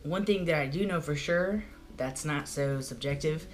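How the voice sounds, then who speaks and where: A young man talking casually to the camera in a small room at home, chatty and rambling with lots of "like" fillers, his voice prone to cracking and going hoarse. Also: a little high, moderate pace, soft background music. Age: young adult. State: voice goes hoarse easily. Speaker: vlogger. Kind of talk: casual video diary. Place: small bedroom at home, indoors. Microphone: camera in front at close range.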